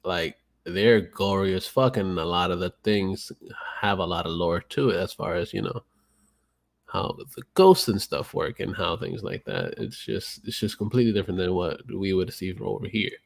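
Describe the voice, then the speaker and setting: A man with a fairly low voice hosting a podcast. He talks loosely, full of "um" and "like", and swears freely as he recommends things. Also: lively intonation, moderate pace, gravelly. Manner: loose, profane, filler-heavy. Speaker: podcast host. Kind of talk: casual podcast chat.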